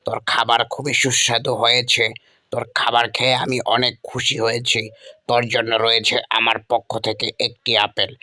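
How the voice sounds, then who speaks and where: A man's voice: medium pitch, completely flat delivery, brisk, sharp tone, dry recording, clean studio sound. A man playing a commanding wandering holy man in a Bangla cartoon, with imperious pronouncements. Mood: pleased, approving.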